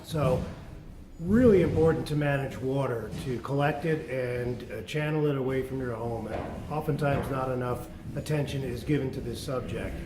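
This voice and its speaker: A man presenting a home-building show on site, talking in a measured way with frequent "uh"s. His voice sits at an ordinary pitch. The sound is very echoey, with clear background noise behind him.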